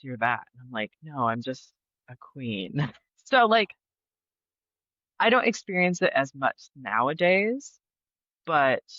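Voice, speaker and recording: A man's voice: medium pitch, bright, forceful tone, no room sound, dull audio. A young man chatting as a podcast guest, laughing often and talking with many fillers. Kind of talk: casual interview chat. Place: Brooklyn.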